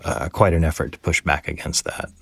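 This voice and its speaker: Late-night radio host, extremely low and flat, measured interviewer for audio drama. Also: male voice, vocal fry, slightly rough, no room sound, exaggerated voice.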